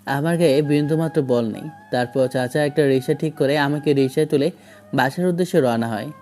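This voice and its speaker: A man's voice: mid-range voice, brisk, a little mellow, faint music behind. A man narrating a first-person romantic story in a dramatic scripted style.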